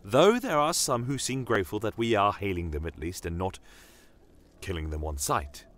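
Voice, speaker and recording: Man voicing a gentle, earnest woman healer. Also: lowish voice, expressive, normal speed. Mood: pleading. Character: earnest, compassionate female healer advising a commander.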